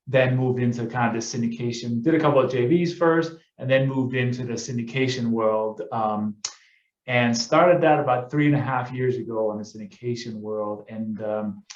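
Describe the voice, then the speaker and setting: A middle-aged man from Denmark, presenting on a webinar with many fillers and self-corrections. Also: mid-range voice. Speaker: guest presenter, real estate investor. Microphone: video call. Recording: echoey, dull webinar audio.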